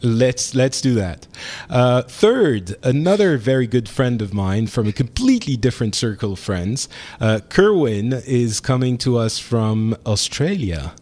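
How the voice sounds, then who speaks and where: A man's voice: mid-range voice, animated, unhurried, hardly any pauses, a little mellow. A man with a French accent hosting a podcast roundtable, chatty and laughing often. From France. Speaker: podcast host. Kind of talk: casual roundtable chat. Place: home in Paris.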